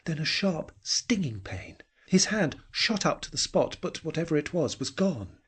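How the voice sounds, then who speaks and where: A man reading a dark short story as a dramatic narrator, in a brooding, theatrical manner that can drop towards a whisper. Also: fairly high pitch, sing-song, normal speed, slightly rough.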